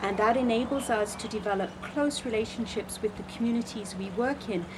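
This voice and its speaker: A woman's voice: on the high side, a little fast. A middle-aged woman, a charity founder and project leader, explaining her program steadily in a documentary interview. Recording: clear background noise with passing vehicles.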